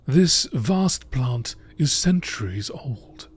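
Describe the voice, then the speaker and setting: A man narrating scripted nature documentary entries in a measured, formal, deliberate way, with a husky, breathy voice. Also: medium pitch, sing-song. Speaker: narrator reading game lore entries.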